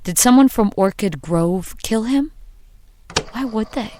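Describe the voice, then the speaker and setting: A woman's voice: on the high side, animated, darkish tone, slight background noise. An actress playing a young woman narrating her anxious thoughts after her father's death. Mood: brooding. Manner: brooding inner monologue, slow.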